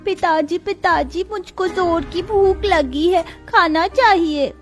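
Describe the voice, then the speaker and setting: A woman's voice: very high voice, slow, faint music behind. A woman voicing a small, poor, innocent daughter in a melodramatic story, plaintive and childlike.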